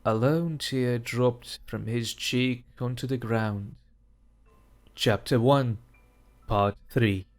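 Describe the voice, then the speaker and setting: A man reading a dark fantasy story aloud as narrator, slow and measured. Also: mid-range voice, soft background music.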